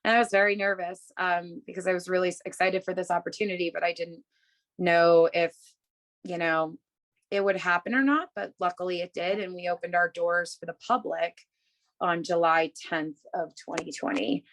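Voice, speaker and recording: A woman's voice: fairly low pitch, fairly even intonation, moderate pace, a bit bright. Chatty woman on a video call. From Massachusetts, USA. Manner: chatty, many fillers, upbeat. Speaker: bookstore owner, guest. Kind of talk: casual interview answers. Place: home room.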